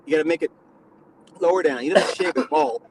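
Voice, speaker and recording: Gravelly man with a lively high voice. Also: brisk, slight background noise, low-quality recording. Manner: mock-serious lecturing, breaks into laughter. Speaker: stream host. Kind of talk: casual livestream chat.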